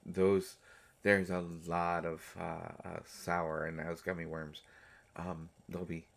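A man plays a centuries-old male vampire doctor with a low-pitched voice. He is tender and a little mischievous, talking haltingly and teasingly to someone close. It is an intimate audio roleplay performance. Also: flat delivery, vocal fry, exaggerated voice. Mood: teasing, affectionate. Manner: halting, teasing, soft-hearted speech.

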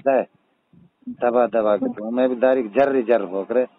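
A man with a husky voice and a Mewati accent, chatting casually in a private phone call. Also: medium pitch, fairly even intonation, unhurried, dry recording. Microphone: telephone. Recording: muffled, tinny phone call recording.

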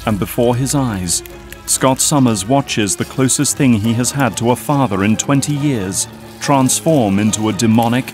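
A man giving deliberate, scripted narration for an audio drama. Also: mid-range voice, darkish tone, faint music behind.

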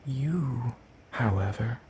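A man playing a lanky, ancient, world-weary demon in a soft ASMR roleplay, speaking in a hesitant, breathy murmur with formal wording. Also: medium pitch, animated, slow pace, slight background noise. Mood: gentle, fond.

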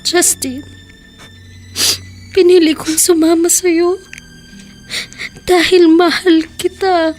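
A woman's voice: very high voice, level tone, slow, pauses often, soft background music. A desperate teenage girl. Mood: soft, downcast. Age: teens. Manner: tearful and pleading, soft and hushed in quiet scenes. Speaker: young lover. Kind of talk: radio drama acting.

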